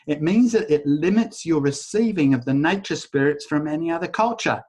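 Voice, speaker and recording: A man leading a live online workshop over a video call, talking in a casual, rambling way and softly chanting a repeated phrase. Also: on the high side, moderate pace. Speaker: workshop facilitator.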